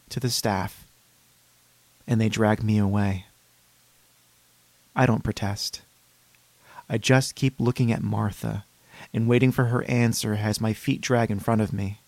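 A man voicing a fussy, polite, unsettled psychiatric patient in a measured reading of a first-person horror story. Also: on the low side, creaky, darkish tone, no room sound, heavily acted.

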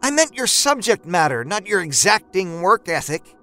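An exasperated wife as a male narrator plays her in a dramatic audio story. The voice sits well above his own, with a clean tone. She is scornful and scolding, sharp with disbelief. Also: high-pitched, very animated, normal speed, soft background music, voice pushed up, full character voice. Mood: angry scorn.

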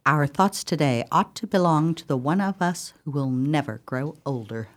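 Radio play, woman acting as stern sister, very low female voice, cautious, formal, guarded. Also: lively intonation, moderate pace. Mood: cold, grieving. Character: Caroline, stern, guarded sister hushing others.

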